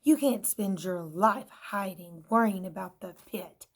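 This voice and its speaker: A woman's voice: mid-range voice, unhurried. A woman voicing a bossy little red pen, stern and determined.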